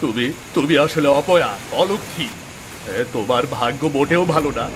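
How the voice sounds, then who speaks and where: An actor voicing a bitter, self-pitying village farmer in a moral-story cartoon, dramatic and complaining. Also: male voice, fairly high pitch, normal speed, noisy background, lightly acted. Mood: angry, blaming.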